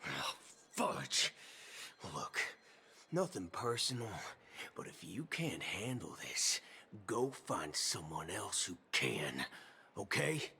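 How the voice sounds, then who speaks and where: A man's voice: deep voice, big pitch swings, slow pace, creaky, pushed and bright, breathy edge, heavily acted. A man playing a brash, impatient adventurer with an informal, wry manner in scripted video game dialogue. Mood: irritated. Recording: prominent game music behind voice. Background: game background music.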